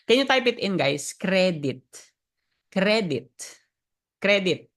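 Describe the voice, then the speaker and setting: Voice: mid-range voice, expressive, slow pace, fairly bright tone. A trading educator with a Filipino accent, whose first language is Tagalog, giving a live market lesson and asking viewers to type in chat. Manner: addresses viewers directly, asks them to type in chat; frequent fillers and restarts.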